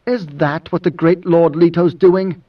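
A man voicing an indignant, hot-headed warrior in a blunt, strained, hoarse voice for an audiobook. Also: on the high side, fairly even intonation, measured pace, far from the mic, highs cut off, pitched above the performer's own voice. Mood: appalled.

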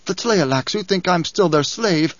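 A man's voice: high voice, expressive, normal speed, no room sound, voice pushed up. A tense, guarded male swordsman, voiced by the narrator, who speaks in clipped, defiant lines. He stays strained and wary in a dry, dull audiobook read. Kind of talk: audiobook character reading.

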